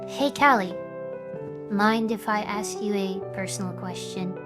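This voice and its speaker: A woman playing a cute young streamer idol who is stressed and defiant yet wavering, in an animated drama. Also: mid-range voice, unhurried, over loud music, lightly acted. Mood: gentle, curious. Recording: noticeable background noise.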